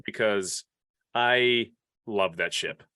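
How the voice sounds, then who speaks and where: A man hosting a casual podcast discussion, enthusiastic and quick to interject. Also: lowish voice, bright, forceful tone, clear, resonant tone, dry recording, clean studio sound. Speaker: podcast panel host.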